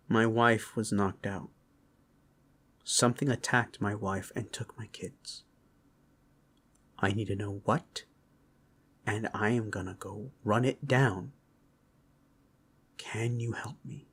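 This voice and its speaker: A man's voice: a little high, big pitch swings, a little slow, pauses often. A man playing a hot-tempered, protective beast father in a fantasy audio drama, speaking in a blunt, threatening way. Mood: grim, hurting.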